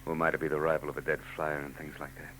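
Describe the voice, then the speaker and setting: An actor playing a hardboiled private eye in a 1940s radio drama, dry and sardonic with quick wisecracks. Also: male voice, very deep voice, level tone, fairly bright tone, slight background noise. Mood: wry. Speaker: narrator and lead.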